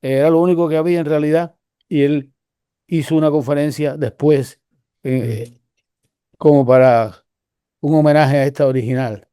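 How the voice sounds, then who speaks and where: An older Cuban man speaks in a mellow, slightly high voice, his Cuban Spanish accent clear. He rambles through the story with frequent restarts and pauses. The sound is dry and close. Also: steady tone, dry recording. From Cuba. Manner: rambling, halting with many restarts. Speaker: guest researcher. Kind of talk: casual conversational storytelling.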